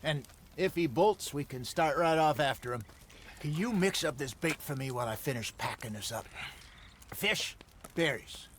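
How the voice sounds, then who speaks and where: An actor playing a seasoned, easygoing American frontier hunter and outlaw with folksy talk in a video game cutscene. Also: male voice, fairly high pitch, animated, normal speed, slight background noise, close to an everyday voice. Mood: calm, instructive.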